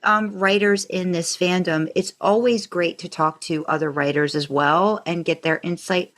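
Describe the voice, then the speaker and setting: A female podcast co-host speaks in a somewhat low register. She talks casually and conversationally, as in an informal chat between co-hosts. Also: fairly even intonation, normal speed, fairly bright tone.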